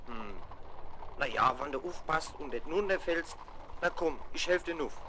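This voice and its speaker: Actor as folksy, higher-voiced coachman. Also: lively intonation, moderate pace, natural voice. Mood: folksy, obliging. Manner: folksy, courteous. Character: friendly folksy Frankfurt horse-carriage driver. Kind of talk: 1970s children's radio play acting. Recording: noisy 1970s vinyl record.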